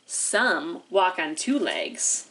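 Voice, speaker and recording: A woman leading an interactive storytime for young children, animated and sing-song, asking questions with exaggerated wonder. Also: fairly low pitch, extremely slow, creaky, poor audio. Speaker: children's librarian hosting storytime.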